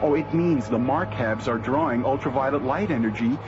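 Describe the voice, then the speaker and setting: A man's voice: medium pitch, normal speed, natural voice. A man playing a keen, eager space scientist in a radio drama, wordy and full of technical jargon. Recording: dull radio recording, music behind.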